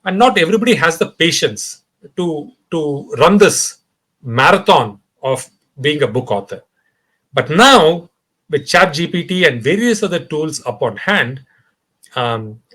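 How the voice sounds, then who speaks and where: Indian guy on a podcast, voice jumping up and down a lot, keeps saying um and uh, restarts his sentences. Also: on the high side. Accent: Indian English. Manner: animated, lots of fillers and restarts. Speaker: podcast guest. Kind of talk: conversational interview.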